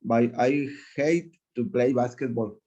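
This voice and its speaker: Slow-speaking male student, dull call audio. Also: mid-range voice, mellow. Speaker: English student. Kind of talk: reading aloud in class.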